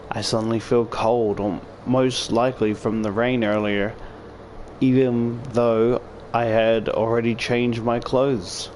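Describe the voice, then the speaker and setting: A man's voice: medium pitch, a little mellow, a little hiss or hum. A man with an Australian accent doing a casual, sweary let's-play, reading visual novel text aloud and commenting as he goes. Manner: sweary, informal. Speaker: video game streamer. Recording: music playing behind the voice.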